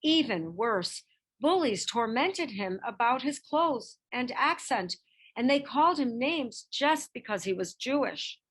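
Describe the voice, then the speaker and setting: A woman reading a children's picture book biography aloud in expressive narration, with measured phrasing and dramatic emphasis. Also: a little high, breathy edge. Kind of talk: expressive scripted storybook narration.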